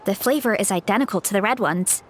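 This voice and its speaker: A woman's voice: mid-range voice, fast talker, slight background noise, lightly acted. A woman voicing a cheerful, easygoing young tribeswoman in a video game, laughing easily as she talks. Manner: upbeat, breezy, laughs easily. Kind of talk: game voice acting.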